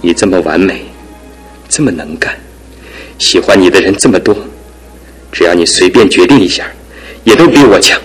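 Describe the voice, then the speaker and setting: An actor in a radio drama playing a despairing young man crippled in one leg, his talk swinging from hushed to anguished outbursts. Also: low voice, expressive, moderate pace, pauses often, slightly rough, faint music behind. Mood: bitter. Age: young adult. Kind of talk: broadcast radio drama acting.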